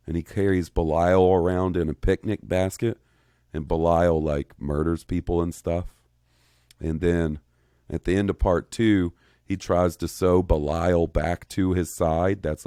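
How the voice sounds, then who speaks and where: A man in his thirties chats on a podcast in a very deep, mellow voice. He rambles, swears freely and gets worked up. The sound is dry and close. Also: smooth, no room sound. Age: 30s. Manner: rambling, profane, excitable. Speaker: podcast co-host. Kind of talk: casual podcast chat.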